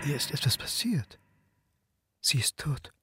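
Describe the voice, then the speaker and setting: An actor playing an anxious, dogged historian turned amateur detective, in radio drama dialogue and inner monologue. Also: male voice, on the high side, big pitch swings, unhurried, pushed and bright, a little hiss or hum, full character voice. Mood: tense, brooding.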